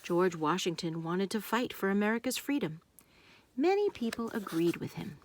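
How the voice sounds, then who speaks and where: A woman narrating a children's storybook, reading aloud in simple, deliberate phrases meant for young listeners. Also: medium pitch, lively intonation, slight background noise.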